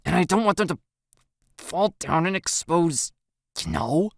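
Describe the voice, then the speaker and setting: A woman's voice: lowish voice, a little slow, vocal fry, dry recording, studio quality, voice pushed up. A woman voicing an embarrassed, grumpy teenage boy in a dramatized audio story, with a blunt, pouty, exasperated manner. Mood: sulky embarrassment.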